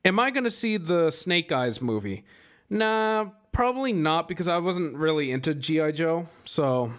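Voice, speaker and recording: A man in his twenties, tired and relaxed, answering chat questions in a rambling, casual live stream from a small room at home on a boom-arm mic. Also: normal speed. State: exhausted. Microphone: studio mic on boom arm, headset on.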